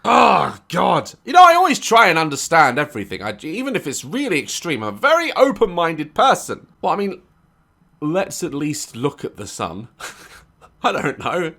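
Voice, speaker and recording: A man with a hoarse, sore throat hosting a reaction video, joking and swearing as he comments. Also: a little high, wildly swooping pitch, normal speed, bright, forceful tone. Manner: jokey, irreverent, swearing.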